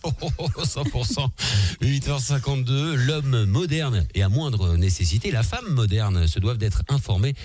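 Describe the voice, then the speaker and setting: A man doing mock-solemn, deadpan announcing as a comic co-presenter on a radio show. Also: medium pitch, normal speed, soft background music.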